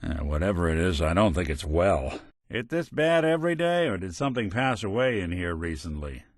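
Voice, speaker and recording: World-weary android private eye, hardboiled, kindhearted, low-pitched, expressive intonation, video game. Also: male voice, brisk, no echo, studio quality. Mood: wry. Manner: hardboiled, wry, old-fashioned slang. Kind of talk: video game voice acting, noir detective.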